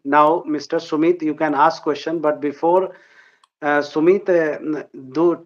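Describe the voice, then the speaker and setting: Through dull, lo-fi audio, a man with an Indian accent moderates a live session. His voice is slightly higher than average for a man as he relays questions to a guest. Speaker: session host.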